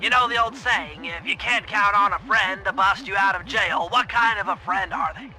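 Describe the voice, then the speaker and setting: An actor playing a nerdy, careful young turtle gadget genius in a video game, nasal and precise. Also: male voice, high voice, moderate pace. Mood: confident. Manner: precise, earnest, bookish briefing. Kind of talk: animated video game cutscene acting. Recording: loud music under the voice. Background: game music.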